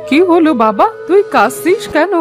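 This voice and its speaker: A woman plays an aged, wise village grandmother from a cartoon folktale. Her voice is high, and she talks in a knowing, emphatic way, kindly repeating herself. Music runs underneath. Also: very animated, moderate pace. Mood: knowing, concerned. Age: older. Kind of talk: Bengali cartoon folktale voice acting. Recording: music behind the voice.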